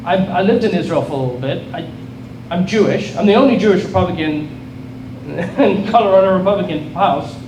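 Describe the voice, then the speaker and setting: South African man, middle-aged, high-pitched, earnest political speech, distant and echoey, noisy hall. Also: animated, brisk. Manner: earnest, rallying, repeats and restarts phrases. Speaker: state legislator giving a speech. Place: event hall with an audience.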